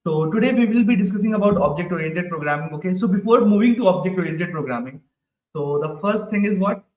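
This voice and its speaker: A man's voice: high-pitched, lively intonation, fast talker, a little mellow, highs cut off. A man teaching a live online programming class, asking the class questions over and over and ending his sentences with "right?". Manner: repeats questions to the class, ends lines with "right?". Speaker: programming instructor. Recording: echoey room, mic at a distance.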